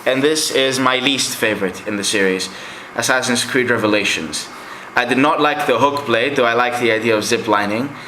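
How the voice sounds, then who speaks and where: Young adult man, native Greek speaker, reviews games in a chatty ramble; bright voice, roomy echo. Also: mid-range voice, normal speed, a little hiss or hum. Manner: rambling and chatty, with many fillers. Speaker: game collector vlogger. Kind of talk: casual game collection review. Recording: echoey room.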